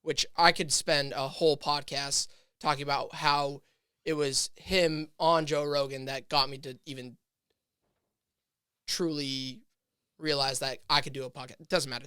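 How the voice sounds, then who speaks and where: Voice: medium pitch, pushed and bright, clean tone, dry recording, clean studio sound. A podcast host thinking aloud in casual chat, rambling with many fillers and restarts.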